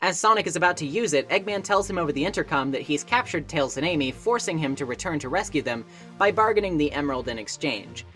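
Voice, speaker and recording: A woman's voice: sits low, expressive, fast, a bit bright. A woman narrating a scripted video essay in a wry, conversational and articulate way. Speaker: video essayist, game reviewer. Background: background music.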